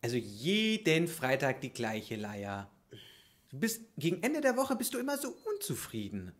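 A man's voice: medium pitch, huge pitch swings, normal speed. A simple-hearted warehouse worker acted by a man from an improvised radio play. He talks colloquially and earnestly, easily baffled, with a mid-range voice that swings up and down. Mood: plain, puzzled. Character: contented, simple-hearted male deposit-bottle warehouse worker. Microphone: microphone on stand.

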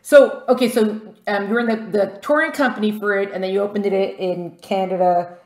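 A woman hosting a podcast with a bubbly, excitable manner, often laughing. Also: medium pitch, fairly quick, roomy sound. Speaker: podcast host.

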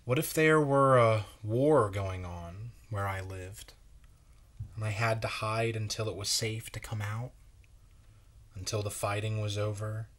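Male, thirties, lower register, measured and wondering storybook read-aloud. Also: steady tone, a bit bright. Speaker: children's story reader. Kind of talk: picture book read-aloud. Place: small bright room at home, indoors.

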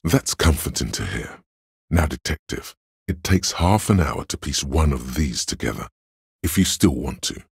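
A man voicing the cold inner voice of logic in a detective's mind for a video game, measured and analytical, with a gravelly, husky delivery. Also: rumbling low, a little mellow, dry recording, studio quality, full character voice.

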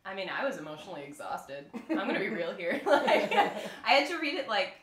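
A woman's voice: mid-range voice, very animated, a little fast, fairly bright tone. A woman who acts, chatting informally and hesitantly at a casual roundtable discussion. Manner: informal, hedging, peppered with "like". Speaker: voice actress on a podcast panel. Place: room, group around a table. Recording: roomy raw recording.

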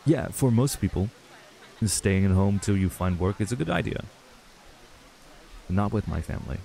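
Young male played by a man for ASMR roleplay: stressed runaway, earnest and halting, dark mellow tone, gravelly edge. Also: fairly low pitch, lively intonation. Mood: weary, hesitant. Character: stressed young man, frustrated runaway reuniting with childhood friend. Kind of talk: intimate ASMR audio roleplay.

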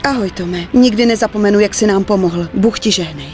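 A woman's voice: medium pitch, darkish tone, soft background music, natural voice. A woman playing an anxious, grateful gangster's wife in a dubbed video game, earnest and emotional.

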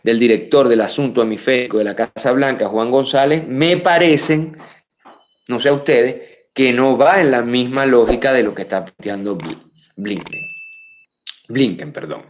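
Venezuelan male host in his thirties, mid-pitched, punchy live commentary that leaps up on key names and falls to soft asides, webcam at a desk. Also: normal speed. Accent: Venezuelan Spanish. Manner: emphatic, rises sharply on key names, drops to quiet asides. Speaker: political show host. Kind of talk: live political commentary reading viewer messages. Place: room with full bookshelves.

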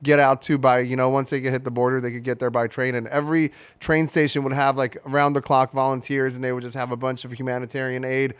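A man's voice: mid-range voice, flat delivery, fast, hardly any pauses, clean tone, no room sound. A man who grew up in Ukraine, with Russian as his first language, chatting casually on a podcast in a small studio. He rambles, swears often and restarts his sentences. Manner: rambling, profane, many restarts. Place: small studio with red walls. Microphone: studio mic on boom arm.

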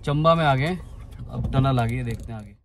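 A man's voice: mid-range voice, moderate pace, background noise. A young man, a travel vlogger, chatting casually to his phone camera held at arm's length, outdoors by a river and later in a car and a restaurant. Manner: chatty, talks straight to the camera while traveling. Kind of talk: casual travel vlog narration.

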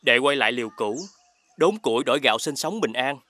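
A man playing an honest, trusting young woodcutter hero in an animated fairy tale, earnest and obliging, with a southern Vietnamese accent. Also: mid-range voice, fairly quick, a little hiss or hum.

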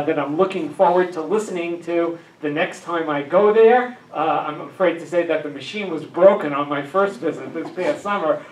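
An older man giving an academic lecture with a handheld mic, full of "uh" fillers and dry asides. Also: on the high side. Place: dim lecture room with projector. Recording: echoey room, camera far off.

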